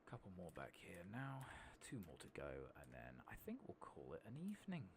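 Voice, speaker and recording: A man doing soft-spoken, sometimes whispered ASMR game commentary, muttering casually to himself at a close microphone. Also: low voice, wildly swooping pitch, brisk, creaky, noisy background. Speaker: host of a gaming ASMR playthrough. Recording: keyboard typing and mouse clicks behind.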